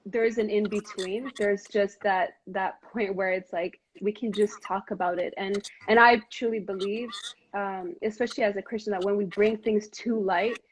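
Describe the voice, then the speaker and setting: A woman's voice: medium pitch, steady tone, moderate pace, dull audio, a little hiss or hum. A woman from Montreal hosting a live conversation, talking earnestly with lots of fillers and restarts. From Montreal, Canada. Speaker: host of a live video chat. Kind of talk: casual heartfelt conversation. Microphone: live stream device mic.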